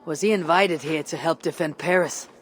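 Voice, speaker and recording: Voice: mid-range voice, normal speed, slight background noise, natural voice. An actor as a composed Viking warrior in a video game. The delivery is formal and blunt, in a reverberant space with faint ambience. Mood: polite, guarded. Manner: formal, direct. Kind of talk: dramatic video game acting.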